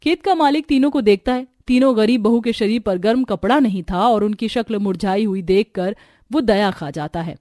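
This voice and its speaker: A woman's voice: mid-range voice, normal speed, mellow, no room sound, clean studio sound. A woman narrating a scripted cartoon story.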